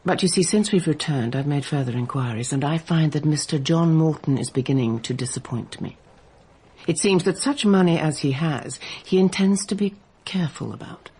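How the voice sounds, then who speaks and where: A woman's voice: low-pitched, warm tone, husky. A calculating society mother. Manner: measured, calculating, deliberate. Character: scheming aristocratic mother, older woman, mercenary. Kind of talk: BBC radio drama acting.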